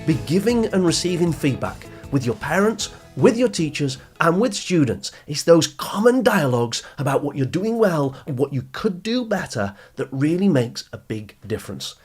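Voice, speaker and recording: A man hosting a motivational advice talk for teenagers, speaking in an emphatic, deliberate teaching manner that stresses key phrases. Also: fairly high pitch, sing-song, a little mellow, breathy edge, soft background music. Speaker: podcast host and youth coach.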